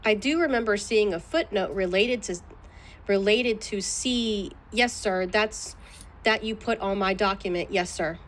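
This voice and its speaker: A woman's voice: mid-range voice, measured pace, fairly bright tone, a little hiss or hum. A woman answering questions in a legal deposition in a guarded, clipped manner. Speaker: plaintiff being deposed.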